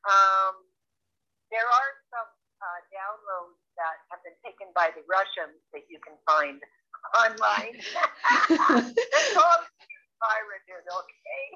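A raspy, gritty-voiced woman in her sixties whose tone is bright and forceful. She is a singer-songwriter who sounds rough from much singing, and she rambles with lots of ums. The sound comes over a dull, lo-fi phone line. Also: medium pitch, big pitch swings, slow. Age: 60s. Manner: rambling, with many ums and restarts. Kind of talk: casual phone interview.

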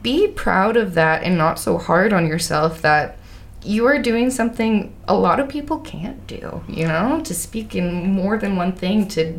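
Female host, English Canadian accent, casual and animated, laughs a lot. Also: medium pitch, measured pace. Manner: laughs often, animated storytelling. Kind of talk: casual interview chat.

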